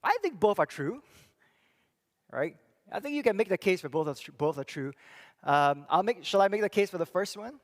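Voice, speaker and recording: A middle-aged man from Singapore giving a church talk, thoughtful and halting, with many fillers and restarts. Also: high voice, animated. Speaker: church speaker and psychology professor. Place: church hall. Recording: long reverb of a hall.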